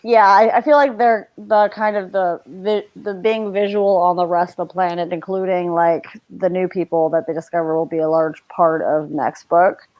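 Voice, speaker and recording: A woman's voice: fairly low pitch, fairly even intonation, normal speed, few pauses, dull audio. A woman around her thirties in a casual chat on a video call, explaining things as she thinks aloud. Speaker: book discussion guest. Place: living room at home, on a video call.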